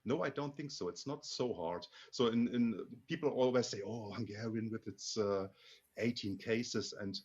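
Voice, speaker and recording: Guest spot: a German-accented man, low and husky, stop-start delivery with a lot of self-corrections, over a dull lo-fi recording. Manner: halting, many restarts and repeated words. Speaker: interview guest, Hungarian learner. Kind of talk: casual interview conversation.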